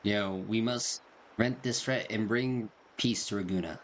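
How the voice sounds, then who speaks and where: A man's voice: on the low side, measured pace, a little hiss or hum. A man hosting a gaming video, reading dialogue and commenting in a casual, slangy, joking way. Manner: jokey, slangy.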